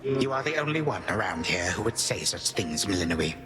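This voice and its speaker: A man's voice: low-pitched, sing-song, a little fast. Grandiose old titan grandfather, swooping delivery. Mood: fond, teasing. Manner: archaic, theatrical phrasing. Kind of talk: dramatic video game acting. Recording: music behind the voice.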